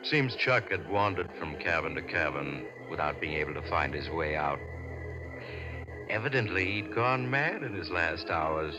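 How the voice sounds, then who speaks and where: Eerie storyteller: low, suave, darkly genial, muffled 1940s radio sound. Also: male voice. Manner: sly, darkly genial, theatrical. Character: mysterious male traveler, suave, eerie storyteller on a train. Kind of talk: 1940s radio horror host narration. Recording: dull vintage radio recording with music.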